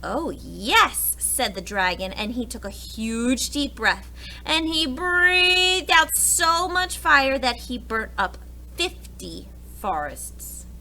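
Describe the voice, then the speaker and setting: A woman teacher reading a storybook aloud to young children in an animated style. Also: high-pitched, takes it slowly, rough recording.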